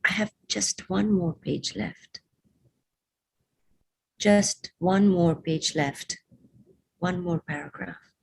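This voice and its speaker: A woman's voice: medium pitch, very animated, measured pace, gravelly, darkish tone, husky, pitched above the performer's own voice, heavily acted. A woman voicing a proud, stubborn elderly mother in a poetry recitation, given to exclamatory questions and coaxing repetition. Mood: soft pleading. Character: stubborn elderly mother, proud, scolding yet sweetly pleading.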